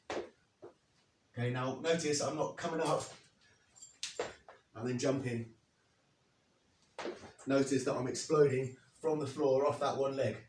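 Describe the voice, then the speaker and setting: A man in his thirties, slightly hoarse after losing his voice, explaining and demonstrating leg exercises step by step as a fitness coach. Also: mid-range voice, a little mellow, slight background noise. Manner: plain, step-by-step coaching while demonstrating moves. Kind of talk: instructional workout demo. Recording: echoey, from a distance.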